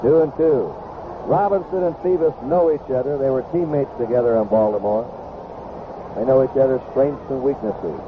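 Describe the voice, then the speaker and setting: A man's voice: mid-range voice, normal speed. A man calling baseball play-by-play on live radio in terse, clipped phrases. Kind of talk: live radio sports commentary. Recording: noisy phone-like old radio broadcast.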